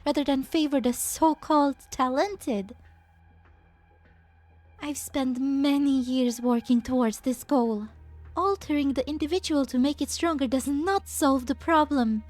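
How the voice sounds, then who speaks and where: A woman voicing a gentle, idealistic, serenely self-righteous scientist in an amateur game dub, speaking softly and formally. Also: high-pitched, natural voice. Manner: soft-spoken, earnest, formal. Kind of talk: scripted anime-game fan dub acting.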